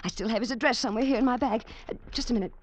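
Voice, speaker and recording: A woman's voice: on the high side, rapid-fire, slight background noise, natural voice. An actress playing a distraught, breathless young woman in an old radio drama, anxious and stammering. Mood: urgent. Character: distraught young woman searching for her missing mother. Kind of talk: 1940s-50s radio drama acting with first-person narration. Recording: old radio recording with music behind.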